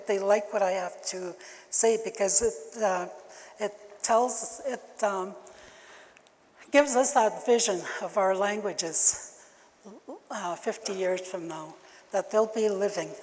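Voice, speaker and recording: An older woman who grew up speaking Ojibwe, giving a keynote talk at a conference in a slow, halting way with many fillers. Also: mid-range voice. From Canada. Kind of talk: keynote address. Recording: long hall reverb.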